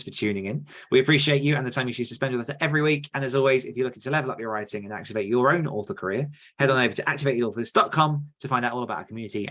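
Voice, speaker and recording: A man's voice: mid-range voice, fairly bright tone. A man hosting a podcast in a casual, rambling and enthusiastic chatty style. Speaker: podcast host.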